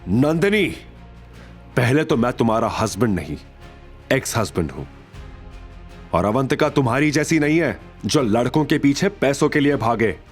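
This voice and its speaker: A man's voice: medium pitch, animated, normal speed, pauses often, faint music behind, pitched above the performer's own voice, lightly acted. Actor as a firm, fearless young man. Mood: firm anger. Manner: firm, assertive. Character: stubborn, fearless young man, proud and protective.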